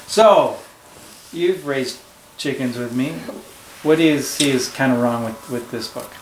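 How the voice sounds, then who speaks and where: A man's voice: medium pitch, unhurried, a little hiss or hum. Man, teacher, patient, step-by-step explaining, roomy echo. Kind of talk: casual classroom discussion. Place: home.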